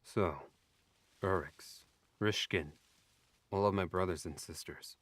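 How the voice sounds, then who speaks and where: A young elf lord played by a man speaks in a very deep voice, dry and sardonic. He sounds abrasive and aristocratic, in a close, dry audio drama recording. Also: takes it slowly, a bit bright, no echo, heavily acted. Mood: curious, uneasy. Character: wry, abrasive young elf noble, secretly divine.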